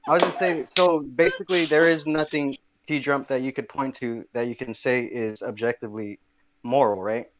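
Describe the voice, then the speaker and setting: A man's voice: mid-range voice, fairly even intonation, moderate pace, fairly bright tone, a little hiss or hum. A man in an online voice chat, persistently pressing for a yes or no answer, on a muffled microphone. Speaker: chat room participant. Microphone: low-quality home mic. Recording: muffled dry mic.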